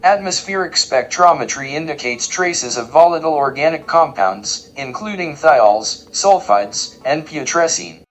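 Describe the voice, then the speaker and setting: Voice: mid-range voice, animated, unhurried, few pauses, soft background music, rough recording. An actor playing a polite, easily offended robot cook who speaks in formal, technical terms in an audio drama. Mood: precise, matter-of-fact. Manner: formal, precise, technical wording. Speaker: diner cook and cleaning unit.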